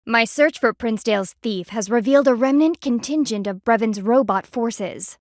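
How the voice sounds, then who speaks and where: A woman's voice: a little high, normal speed, no room sound, studio quality, lightly acted. A woman voicing a stern, haughty panda army lieutenant in a video game, speaking in a formal, clipped, dryly disdainful way. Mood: businesslike.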